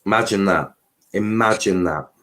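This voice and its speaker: A man's voice: low-pitched, normal speed, fairly bright tone. A man hosting a livestream watchalong, giving casual race commentary with informal, sweary, joking asides.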